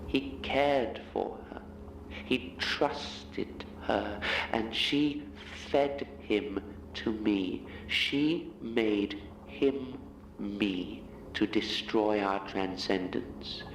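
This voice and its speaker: A man playing an eerie inhuman monster, bitter and coldly amused, telling a horror story in measured, theatrical phrases. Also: lowish voice, echoey room, distant mic, a little hiss or hum, low-quality recording. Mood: bitter, mocking. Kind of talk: dramatic horror monologue.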